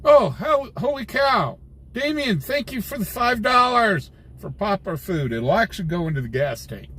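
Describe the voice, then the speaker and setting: A man's voice: high voice, sing-song, normal speed, pushed and bright, slightly rough. A middle-aged American man chatting casually with livestream viewers in a folksy, joking way from inside a vehicle. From United States. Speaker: livestream host, independent scanner chaser. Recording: live raw stream with vehicle noise. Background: faint vehicle and ambient noise.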